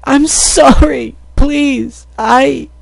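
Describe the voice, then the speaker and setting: A man's voice: high voice, sing-song, unhurried, darkish tone, no echo, pitched above the performer's own voice, exaggerated voice. A man voicing a young filly pony girl who pleads tearfully with her big brother. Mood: pleading.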